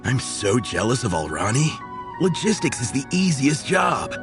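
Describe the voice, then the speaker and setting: A man's voice: mid-range voice, big pitch swings, normal speed. A man playing a worn-out, reluctant young trainee in an anime game, hesitant and grumbling. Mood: envious grumbling. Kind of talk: anime game character acting. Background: game music.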